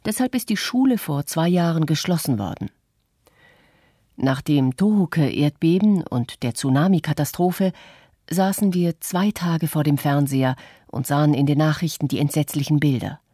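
Woman reading as an earnest woman telling her memories for a radio play, soft mellow tone, measured, dry close sound. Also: sits low, dry recording. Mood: sober, reflective. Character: adult Japanese woman artist soberly recounting disaster memories. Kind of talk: scripted radio documentary narration.

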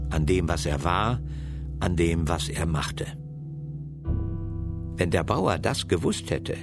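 A man reading a literary prose passage aloud in a grave, deliberate way. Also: deep voice, creaky. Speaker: reader of a literary excerpt. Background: guitar music.

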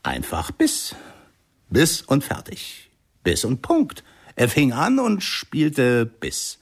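Knarziger, knapper Chronist. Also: male voice, mid-range voice, wildly swooping pitch, takes it slowly, heavily acted. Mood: emphatic. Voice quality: creaky. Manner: clipped, emphatic, repetitive. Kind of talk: radio drama acting.

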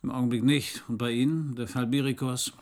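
A man's voice: medium pitch, steady tone, brisk, a little mellow, close to an everyday voice. An actor playing a world-weary private detective in a radio crime drama, narrating his case in a laconic, dry way. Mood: probing, cool. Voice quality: husky, gravelly.

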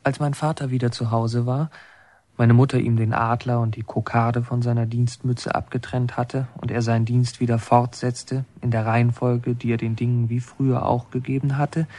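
Man acting as haunted narrator in a radio play, mellow dark voice, mid pitch, confiding and intense. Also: flat delivery, normal speed, lightly acted. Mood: reflective. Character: reflective male narrator haunted by his secret picture hoard. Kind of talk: literary first-person radio drama narration.

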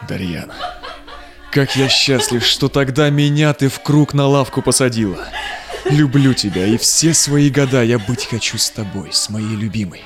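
A man's voice: mid-range voice, normal speed, music in the background, close to an everyday voice. A man playing a devoted young Cossack suitor in a theatrical audio drama, declaiming in verse. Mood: happy, loving.

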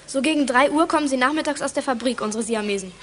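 Fast-talking, high-voiced plucky teenage girl. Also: fairly bright tone. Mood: confiding, brisk. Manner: pert, defiant, matter-of-fact. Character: plucky, quick-witted, cheeky teenage girl detective. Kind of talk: German children's audio drama acting.

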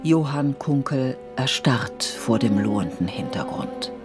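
A woman narrating a scripted literary radio play in measured, poetic storytelling. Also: very deep voice, lively intonation. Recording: studio radio play with music underneath.

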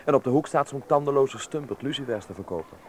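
Actor as animated, wistful young man, noisy recording. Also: medium pitch, fast, natural voice. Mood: wistful, admiring. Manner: chatty, bantering, gently wistful. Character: Harm, lonely young Dutch artist, bantering and wistful. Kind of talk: Dutch radio play acting.

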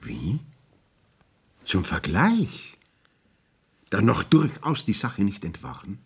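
An actor playing a stern, impatient court councillor in a radio play, speaking educated in a formal, pompous way. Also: male voice, lowish voice, wildly swooping pitch, slow pace, creaky, husky, exaggerated voice. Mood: exasperated. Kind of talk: 1970s radio play acting.

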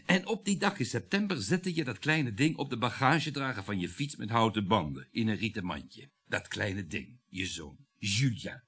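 Sardonic bourgeois husband, radio play, bitter, declamatory, husky voice, very animated intonation. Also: male voice, on the low side, moderate pace, few pauses. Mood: bitter, accusing. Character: bitter, sardonic French bourgeois husband reproaching his wife.

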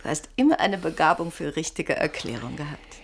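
A woman's voice: low voice, expressive, a little fast, a little hiss or hum. An actress playing a shrewd, insistent woman in a German radio play, pressing her point with persuasive, pointed irony. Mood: insistent, knowing.

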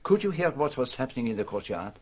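An actor playing a shrewd, courteous detective, formal and deliberate in a radio drama. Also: male voice, medium pitch, very animated, a little mellow, breathy edge. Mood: probing, firm. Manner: formal, deliberate, inverted foreign phrasing. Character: shrewd, courteous foreign detective, probing and commanding man.

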